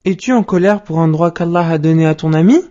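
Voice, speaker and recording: A woman voicing a patient, wise father who gently explains things to his son in a cartoon, on dull lo-fi audio. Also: lowish voice, fast, close to an everyday voice. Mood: patient teaching.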